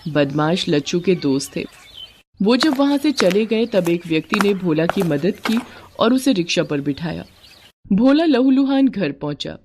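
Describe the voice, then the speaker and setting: A woman's voice: lowish voice, animated, measured pace, background noise. A woman narrating a scripted moral story as a storyteller.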